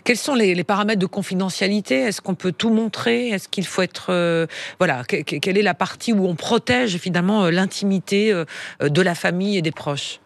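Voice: medium pitch, brisk, gravelly, no echo. An interviewer asking reflective questions, often repeating words while searching for the phrasing.

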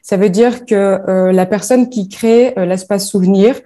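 A woman's voice: medium pitch, moderate pace, mellow. A woman from northern France, founder of a bereavement platform, answering interview questions with frequent hesitations and fillers.

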